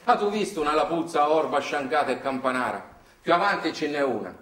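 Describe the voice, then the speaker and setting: A man's voice: a little high, animated, a little fast, fairly bright tone, voice pushed down. In a roomy, somewhat distant stage recording, a man plays a weary country father with a Sicilian accent. He tells his tale patiently, in folksy, repeating rhythms. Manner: folksy, repetitive, rhythmic telling. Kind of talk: theatrical storytelling monologue. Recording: roomy echo, distant microphone.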